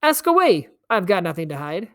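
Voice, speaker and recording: A woman's voice: on the low side, sing-song, brisk, fairly bright tone. A woman voicing a scrawny, grinning male stranger in a storybook, teasing and coaxing in a theatrical way. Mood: coaxing, breezy. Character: scrawny, grinning, wheedling stranger man, fast-talking trickster.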